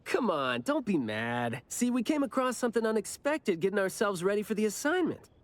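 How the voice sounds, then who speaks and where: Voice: mid-range voice, sing-song, brisk, no room sound, natural voice. Actor as a breezy, teasing, swooping young slacker. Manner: playful, teasing, sing-song. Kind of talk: anime video game voice acting.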